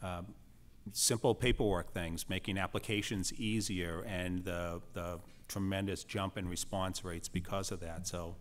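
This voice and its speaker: A man's voice: sits low, fairly even intonation, a little slow. Middle-aged Boston man asking questions. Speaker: school committee member. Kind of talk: panel interview questions. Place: committee meeting room. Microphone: table microphone.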